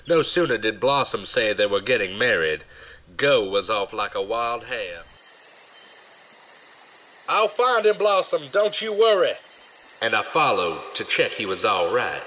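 Man acting a low, bright-voiced, excuse-making sidekick. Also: big pitch swings, unhurried, slight background noise, exaggerated voice, low-quality recording. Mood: calling out. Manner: earnest, dramatic storytelling. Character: smooth-talking, excuse-making male buddy of a rogue. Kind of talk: audio drama acting, storytelling.

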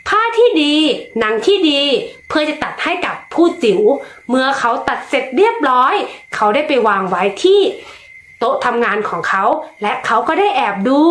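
A woman reading a children's story aloud, slow and lilting, putting expression into each line. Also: high-pitched, a bit bright, reverberant.

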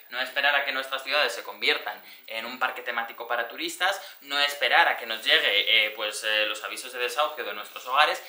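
Forceful, bright young Spanish man explaining. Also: mid-range voice. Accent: Spanish from Spain. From Alicante, Spain. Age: young adult. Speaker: tenants' union activist, speaker. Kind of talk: informal political presentation. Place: indoor social-centre room before an audience. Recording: echoey room sound.

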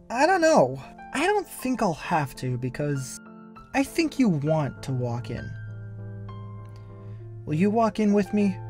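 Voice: mid-range voice, a little mellow, lightly acted. A performer voicing a wisecracking, manic masked antihero in a comic-book dub, flippant and theatrical but caring underneath. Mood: awkward, earnest. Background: background music.

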